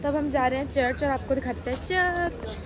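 A woman's voice: high voice, normal speed. A young woman vlogging casually to her viewers on a busy outdoor street, chatty and teasing, filmed on a phone held at arm's length. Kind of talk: casual travel vlog. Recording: crowd and street noise.

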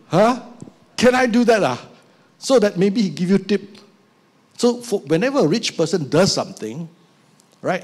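An older man with a Malaysian English accent preaching a sermon on a church stage into a handheld mic, acting out little scenes as he goes. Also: fairly high pitch. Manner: animated, acts out little scenes with put-on voices. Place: church stage with band instruments.

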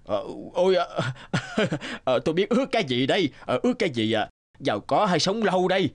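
Theatrical, swooping greedy rich fellow. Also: male voice, high voice, big pitch swings, brisk. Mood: dithering. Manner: theatrical, hesitant muttering and exclaiming. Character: fat greedy rich husband, flustered, scheming, whining. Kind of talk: children's fairy-tale cartoon dub.

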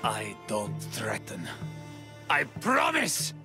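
Sly, slow-talking informant. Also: male voice, on the low side, wildly swooping pitch, vocal fry, over music, heavily acted. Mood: menacing, defiant. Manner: taunting, theatrical. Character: sly, smirking male informant, taunting and cowardly.

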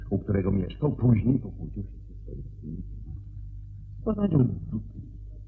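A man acting in a radio play as a brilliant, assured detective, explaining methodically and deliberately with theatrical pauses. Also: deep voice, creaky, exaggerated voice. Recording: dull radio recording, faint noise and hum.

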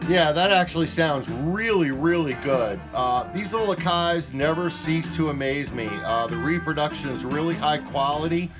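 A man's voice: on the high side, moderate pace, hardly any pauses. Man giving a casual demo, music around. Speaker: product demonstrator. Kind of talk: casual hands-on demonstration talk. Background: music playing at times.

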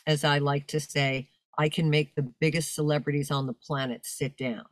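An older woman with a deep, commanding announcer's voice talks in a big, projecting way. She chats about her work over a video call from home, and the sound is dry and close. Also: steady tone, normal speed, dry recording. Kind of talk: casual interview talk. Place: home room with fur-draped chair.

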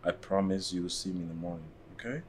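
Woman doing a low-voiced sweet boyfriend. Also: fast, a little hiss or hum. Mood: tender. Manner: soft, low, reassuring. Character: young man, devoted boyfriend, calm and sweet.

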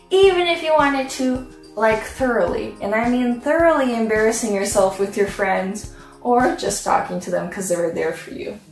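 A young woman giving a playful, upbeat graduation speech to her classmates from home. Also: on the high side. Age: young adult. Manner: playful, upbeat, with comic asides. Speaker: graduating student speaker. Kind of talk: prepared commencement speech. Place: bedroom at home, indoors. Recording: roomy echo, mic at a distance.

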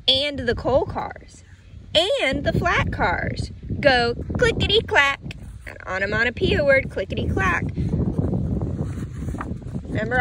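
A woman reading a children's picture book aloud in a teacherly way, explaining and asking questions. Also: high voice. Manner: teacherly, slow and clearly paced, asking questions. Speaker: read-aloud storyteller for children. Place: outdoors on grass. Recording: outdoor ambient noise behind voice.

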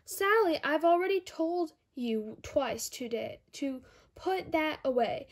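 A woman's voice: high voice, lively intonation, slow, exaggerated voice. A young girl voicing an exasperated, insistent mother pig from a storybook. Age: child. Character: exasperated mother pig calling her children.

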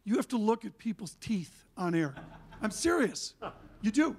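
Older guy on a panel stage, punchy and animated, high voice, handheld mic. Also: normal speed. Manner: animated, emphatic, gesturing. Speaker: panelist, TV and media figure. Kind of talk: conference panel talk. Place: conference stage, indoors.

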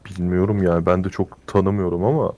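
A man's voice: deep voice, steady tone, brisk, dark tone. A man chatting casually as a player in a roleplay game, with faint noise behind.

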